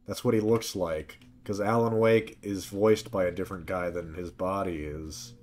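A man's voice: sits low, steady tone, moderate pace, a little mellow. A man streaming a game with casual commentary, muttering to himself in short fragments. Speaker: gaming streamer. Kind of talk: casual let's-play commentary. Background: faint game music.

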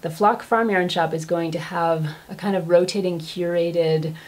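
A woman's voice: fairly low pitch, steady tone, normal speed. An American woman in her thirties chatting enthusiastically to camera in a casual, gushing way, as a craft vlog host. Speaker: knitting vlog host and yarn shop owner. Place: small furnished room at home.

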